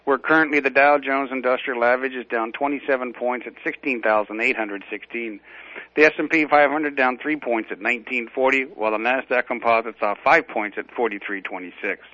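A man, a financial advisor, reading a stock market report in a brisk, steady way. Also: mid-range voice, muffled recording.

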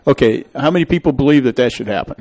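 A man's voice: mid-range voice, animated, rapid-fire, darkish tone. A middle-aged man hosting a public meeting, rambling and emphatic as he gives his opinion. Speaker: local official hosting a public meeting show. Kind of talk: town meeting discussion, opinionated.

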